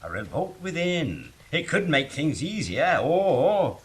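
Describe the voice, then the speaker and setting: A man playing a gruff, scheming leader of an invading army who speaks in a sly, teasing, knowing way. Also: fairly high pitch, wildly swooping pitch, slow pace, exaggerated voice.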